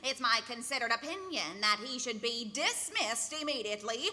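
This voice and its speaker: A woman's voice: on the high side, lively intonation, normal speed, sharp tone. Piercing, pompous officious woman. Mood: haughty. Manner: emphatic, pompous. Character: haughty, vindictive, officious female personnel examiner. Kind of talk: live 1940s-style radio comedy acting.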